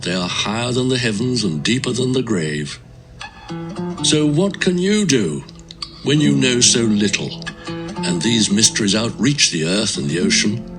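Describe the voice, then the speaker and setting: Self-righteous male accuser; emphatic and admonishing, very expressive melody, Bible audio drama with guitar-like backing. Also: medium pitch, unhurried. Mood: stern rebuke. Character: stern, self-assured male accuser lecturing a sufferer.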